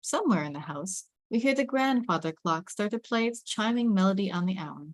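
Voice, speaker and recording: A woman's voice: medium pitch, lively intonation, a little fast, dry recording. A woman reading screenplay stage directions as scripted narration.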